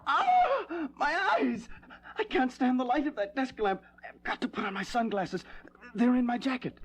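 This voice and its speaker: Frantic high-pitched scientist turning monster. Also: male voice, big pitch swings, normal speed, noisy background. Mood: agonized. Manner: melodramatic, exclamatory. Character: frantic male scientist turning into a bat creature. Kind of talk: melodramatic 1970s audio drama acting.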